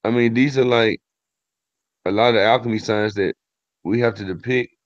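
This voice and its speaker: A man's voice: mid-range voice, fairly even intonation, moderate pace, dry recording. A man hosting a casual live-stream discussion, talking loosely and thinking aloud. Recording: dull lo-fi stream audio.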